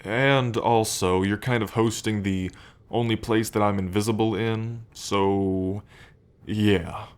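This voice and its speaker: A man's voice: fairly low pitch, steady tone, slow, clean tone. A man playing a smug, teasing demon prince of pride in an ASMR roleplay, drawling and playfully taunting the listener.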